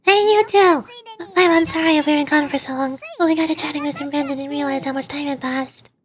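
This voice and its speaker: Small, cheerful floating game companion, played by a woman: high, childlike, chatty. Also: brisk, a little hiss or hum, close to an everyday voice. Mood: bright, apologetic.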